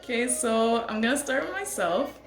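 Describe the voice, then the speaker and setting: A woman's voice: on the high side, lively intonation, moderate pace, echoey room, music in the background, low-quality recording. A young adult woman who grew up in the United States, a hairstylist chatting casually and laughing often. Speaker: hairstylist and co-host. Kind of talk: casual chat.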